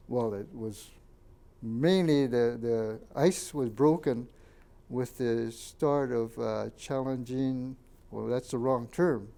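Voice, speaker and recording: An older man, a Japanese first-language speaker from Canada, recalls his childhood in a halting oral history interview and points out photos. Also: mid-range voice, vocal fry, dark tone. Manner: halting, many fillers and restarts, pointing out photos.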